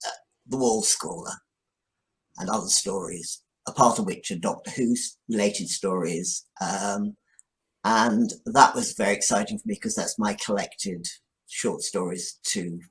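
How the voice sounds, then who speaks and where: Older man, lowish voice, halting and modest. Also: rough recording. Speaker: interview guest, writer. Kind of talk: casual interview talk.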